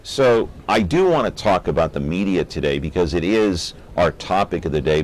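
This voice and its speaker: This is a man with a fairly low-pitched voice. He works as the host of an interview program. His delivery is conversational and curious, with open enthusiasm for what he presents.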